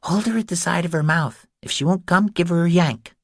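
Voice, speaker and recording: A man's voice: high voice, a little fast, vocal fry, dry recording, studio quality, voice pushed up. A man voicing a small, confident little girl who gives matter-of-fact, bossy instructions in an audiobook.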